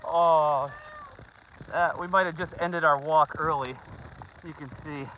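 Give this a man in middle age with a forceful, bright voice pitched a bit high. He chats informally as he walks, livestreaming from a phone outdoors. There is clear noise behind him. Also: steady tone, takes it slowly, low-quality recording. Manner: informal, talks to viewers, son and dogs. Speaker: livestreamer on a family dog hike. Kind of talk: casual livestream chat while walking. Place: outdoors on a snowy trail. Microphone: handheld phone.

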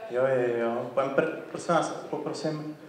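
A man's voice: medium pitch, fairly even intonation, moderate pace, crowd noise. Male dance coach, native Czech, encouraging and informal, addressing a group on stage. Very reverberant hall, distant pickup. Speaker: dance teacher. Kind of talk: giving instructions in a group dance rehearsal. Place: large theatre stage, recorded by a far camera. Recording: very echoey theatre hall from far off.

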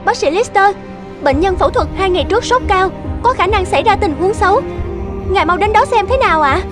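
A woman playing a young, respectful hospital nurse in an animated drama, speaking urgently. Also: very high voice, a little fast, over music. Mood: urgent, worried. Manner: urgent, deferential.

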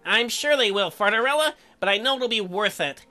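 Visual novel suitor read by a male player: dreamy young farm man, halting, bright, swooping. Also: high-pitched, animated, soft background music, exaggerated voice. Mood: earnest pleading.

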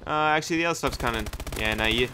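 Young guy talking over a shooter game, bright voice, hyped and rambly, gunfire faint behind. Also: mid-range voice, very fast, a little hiss or hum. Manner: hyped, rambling, self-deprecating. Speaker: gaming YouTuber. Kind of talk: casual gameplay commentary.

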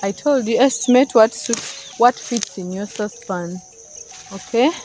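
A woman's voice: a little high, measured pace, music in the background, poor audio. A young woman, chatting casually as she shows plants in a rural field, talking into a handheld phone camera. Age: young adult. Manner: chatty, explaining plants to viewers. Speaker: vlogger. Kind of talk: casual vlog walkthrough. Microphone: phone camera, often held at arm's length.

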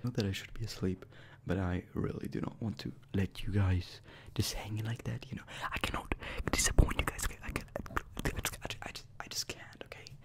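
Whispering young man, low and creaky. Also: fairly even intonation, fairly quick, hardly any pauses. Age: young adult. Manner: repeats words and short phrases over and over. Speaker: ASMR video creator, host. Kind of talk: close-up whispered ASMR. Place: small bedroom, indoors. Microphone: close desk microphone with foam windscreen.

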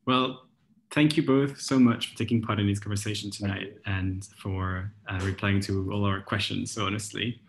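Young male moderator, lowish voice, friendly and chatty on a video call. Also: steady tone, moderate pace, gravelly. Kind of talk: moderating a talk.

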